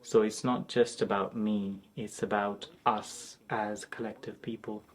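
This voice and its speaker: A man, an artist, speaking reflectively and hesitantly in a documentary interview, with many pauses and restarts. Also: lowish voice, little pitch movement.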